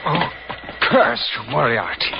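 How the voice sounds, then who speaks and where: Bitter German spy, slow and dramatic, swooping intonation, faintly hissy vintage radio recording. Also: male voice, medium pitch, sing-song, creaky, exaggerated voice. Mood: bitter anguish. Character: bitter, betrayed German spy, male villain. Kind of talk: 1940s radio drama acting. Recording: dull, noisy 1940s radio recording.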